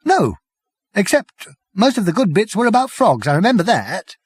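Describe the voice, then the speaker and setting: A man voicing a scraggy little man who can't stop laughing, high and wildly giddy. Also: sing-song, normal speed, slightly rough, no room sound, exaggerated voice. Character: giggly, manic little man, high-pitched.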